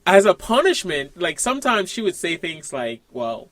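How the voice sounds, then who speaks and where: A man from the Bahamas co-hosting a podcast, talking through a film in a reflective, halting way with many restarts. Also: high-pitched, sing-song, a bit bright, no echo. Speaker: podcast co-host. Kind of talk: casual conversational film discussion.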